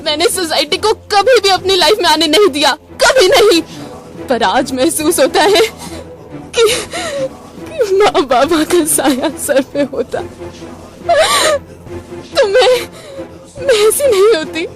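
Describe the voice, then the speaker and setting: A cornered young woman killer in a radio thriller. Her very high voice stammers and repeats, full of bitterness and loneliness, over faint music. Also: very animated, slow pace, heavily acted. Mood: tearful, anguished. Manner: stammering, breathless repetition, theatrical outbursts. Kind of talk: Hindi radio crime drama acting.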